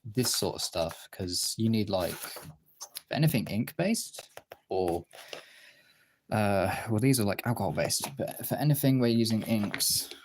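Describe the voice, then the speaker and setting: A low-pitched, gravelly voice talks through an art demonstration in a casual, rambling way. The speaker thinks aloud while working, with light noise and small clicks in the background. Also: darkish tone. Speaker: art tutorial host. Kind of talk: casual hands-on tutorial chat.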